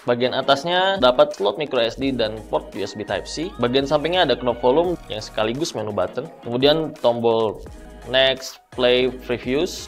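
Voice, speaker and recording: A man with an Indonesian accent presenting a casual gear review, talking informally to viewers as friends. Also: mid-range voice. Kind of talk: casual audio gear review narration to camera. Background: background music.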